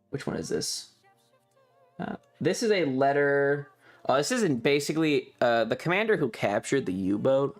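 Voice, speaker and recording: A man hosts a casual livestream over background music. He speaks at an average pitch in an informal, rambling way, explaining things offhandedly and swearing now and then. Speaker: streamer and host. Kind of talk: casual livestream chat, describing travel photos.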